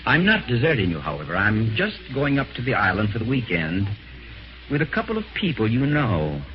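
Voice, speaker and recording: A slyly sardonic radio-drama schemer. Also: male voice, on the low side, huge pitch swings. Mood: mocking, menacing. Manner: sarcastic, falsely genial, deliberate. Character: scheming, sardonic husband, smoothly insinuating, slyly menacing. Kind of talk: old-time American radio mystery acting. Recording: muffled vintage radio, music behind.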